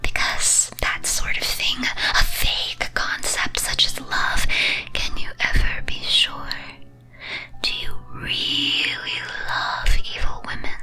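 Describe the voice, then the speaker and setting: A woman delivers a theatrical ASMR monologue in a breathy, very crisp and bright whisper. She is slow and deliberate, with a teasing delivery that swings expressively. Soft music plays faintly behind her. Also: a little high, poor audio. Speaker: streamer performing as a character.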